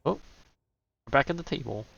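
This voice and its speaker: Wry man with a gravelly voice. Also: mid-range voice, expressive, a little fast, a bit bright, dull audio. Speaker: streamer. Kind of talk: casual gaming reaction commentary. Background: game music.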